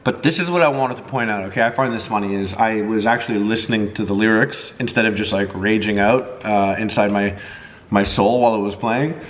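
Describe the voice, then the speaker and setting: A man's voice: on the low side, brisk, roomy sound. A man chatting casually to his viewers as a vlogger, with a jokey, slangy, profane way of talking.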